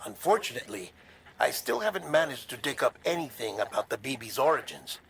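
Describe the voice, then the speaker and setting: An actor playing an earnest, helpful researcher in a video game, polite and measured as he reports. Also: medium pitch, big pitch swings, creaky, a bit bright. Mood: apologetic, earnest. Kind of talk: video game radio-call dialogue. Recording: noticeable ambient noise behind.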